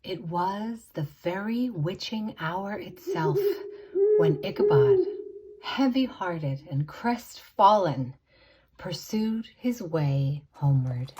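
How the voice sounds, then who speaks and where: A woman narrating a spooky tale in a theatrical, suspenseful way, with deliberate dramatic pauses, like a storybook reader. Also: medium pitch, very animated, mellow, husky, poor audio.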